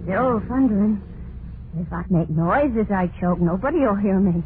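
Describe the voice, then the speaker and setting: Actress as unhinged frightened wife, low-pitched, halting overwrought delivery, old radio horror drama, noisy vintage recording. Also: female voice, expressive, phone-quality sound, lightly acted. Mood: fearful despair. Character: terrified, unhinged wife confessing guilt and dread.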